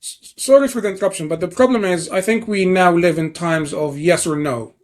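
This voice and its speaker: A man from Egypt puts his questions casually, with many small fillers and restarts. His voice sits somewhat high. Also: a little fast. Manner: hesitant, frequent fillers. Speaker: interviewer. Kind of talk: casual conversational interview.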